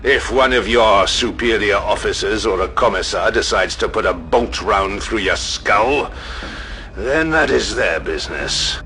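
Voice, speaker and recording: A man's voice: fairly low pitch, unhurried, pushed and bright, soft background music. A man playing a stern superhuman warrior commander in an audio drama, speaking formally and curtly with authority. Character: stern commanding superhuman Space Marine grand master.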